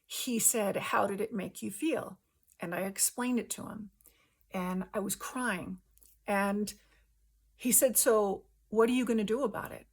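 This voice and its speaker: A woman host giving an earnest spiritual testimony in casual language, speaking emphatically with halting pauses between short phrases. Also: medium pitch, fairly even intonation.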